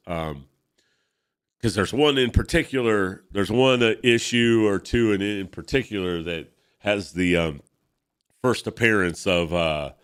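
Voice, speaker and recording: A congested man in his fifties talks casually about old comic books, wandering off track with plenty of ums and uhs. His voice is deep and smooth under the stuffiness. He speaks as a show host chatting with listeners. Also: fairly bright tone. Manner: rambling, filler-heavy. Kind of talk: casual comic book reading.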